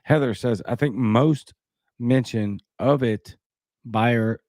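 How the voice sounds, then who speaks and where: A man's voice: mid-range voice, takes it slowly, darkish tone, no echo, studio quality. A man with a Cajun accent hosting a casual livestream, reading news and viewer comments with skeptical, rambling asides. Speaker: livestream host.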